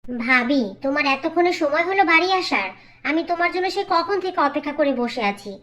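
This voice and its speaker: A woman's voice: high voice, animated, fairly quick, fairly bright tone. A woman voicing a spoiled, scheming young sister-in-law in a cartoon, demanding and whiny. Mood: wheedling. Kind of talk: Bengali cartoon acting.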